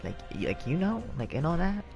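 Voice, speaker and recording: A man's voice: fairly high pitch, big pitch swings, brisk, creaky, darkish tone, soft background music. A man streaming from home on a headset mic, rambling in casual chat with many fillers and restarts.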